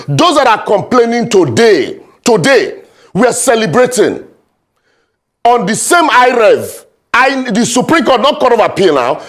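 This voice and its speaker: High-pitched, animated Nigerian man debating. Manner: argumentative, emphatic, repeats points for stress. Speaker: political panel guest. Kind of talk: heated legal debate.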